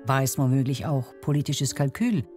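A woman's voice: a bass voice, moderate pace, darkish tone. Woman narrating a documentary over music. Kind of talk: scripted narration.

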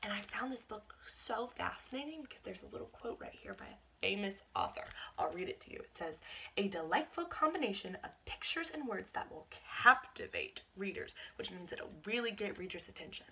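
A woman's voice: mid-range voice, animated, brisk, vocal fry, pushed and bright, breathy edge, poor audio. A woman, reading a picture book aloud to young children and speaking expressively to them like a teacher. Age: 20s. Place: small bedroom at home.

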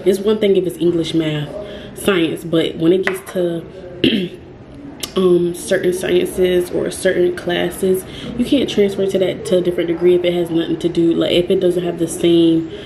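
A woman's voice: lowish voice, dark tone, reverberant, slight background noise, low-quality recording. A young woman vlogging in a casual, rambling way, with frequent ums and self-corrections. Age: young adult. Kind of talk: casual talk to camera.

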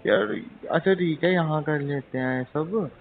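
Man acting a young fiancé, teasing, tight with money, casual phone-drama chat, slightly high voice, tinny call sound. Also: unhurried, vocal fry. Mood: coaxing. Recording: tinny phone line, faint noise.

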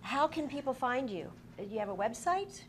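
A woman's voice: high voice, lively intonation, normal speed, slight background noise. A middle-aged woman with an American accent, interviewing conversationally in a TV studio with effusive, encouraging reactions. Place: TV studio, indoors at a desk. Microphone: lapel mic.

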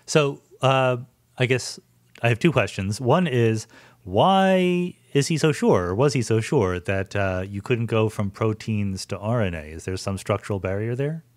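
A man's voice: on the low side, expressive, a little slow, no echo. A man hosting a science podcast, asking questions in a curious, thinking-aloud way. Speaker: podcast host. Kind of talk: conversational science interview.

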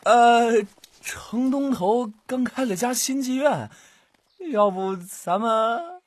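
A man's voice: very high-pitched, takes it slowly, no echo, pitched above the performer's own voice, exaggerated voice. A man voicing a sheepish, lecherous sidekick with a hesitant drawl in an audiobook.

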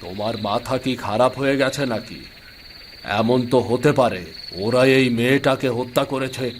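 A man's voice: medium pitch, fairly even intonation, normal speed, a little hiss or hum, lightly acted. A man voicing a stern, brusque hospital doctor in a cartoon. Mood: commanding. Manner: brusque, commanding.

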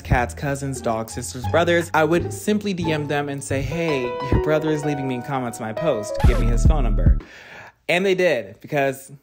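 A young man vlogging casually and comedically in a sassy, slangy, theatrical way. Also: on the high side. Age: young adult. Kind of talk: casual comedic vlog talk. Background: music.

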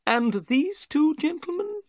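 A man voicing an anxious, excitable little bald man in an audiobook, with a fussy, theatrical delivery. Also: very high-pitched, slow pace, no echo, pitched above the performer's own voice, full character voice. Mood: nervous, excited. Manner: exclamatory, fretful, theatrical delivery. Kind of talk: audiobook character voice.

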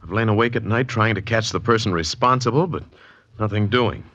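A man's voice: fairly low pitch, a little fast, a bit bright. An actor playing a troubled, earnest artist in his thirties in a 1940s radio drama. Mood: troubled, insistent. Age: 30s. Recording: dull vintage radio recording with noise.

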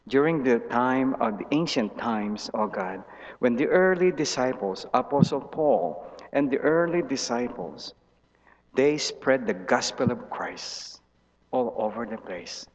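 A middle-aged pastor prays aloud very slowly, in short, solemn phrases. His man's voice sits at an average pitch. It rings with long church reverb and sounds slightly muffled. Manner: measured, reverent, pausing between short phrases. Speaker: pastor leading prayer. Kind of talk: solemn congregational prayer. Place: large church sanctuary, indoors.

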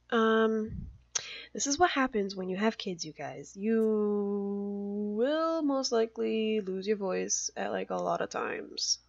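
A woman's voice: mid-range voice, dry recording. A young woman talks her way through a video game, loose and chatty, sprinkling "like" everywhere and giggling now and then. The sound is dry and a bit muffled, as from a simple desk mic at home. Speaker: gaming YouTuber. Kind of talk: casual let's play commentary.

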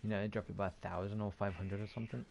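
A man's voice: fairly low pitch, fairly even intonation, quick pace, vocal fry, darkish tone, a little hiss or hum. A man giving casual, offhand gameplay commentary, muttering and mildly swearing to himself. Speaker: gaming YouTuber.

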